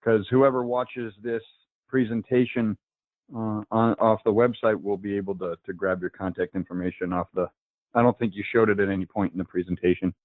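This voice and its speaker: A man hosting a video call, giving brisk and direct instructions. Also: lowish voice, fairly even intonation, dry recording. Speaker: call host. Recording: dry tinny phone-like line.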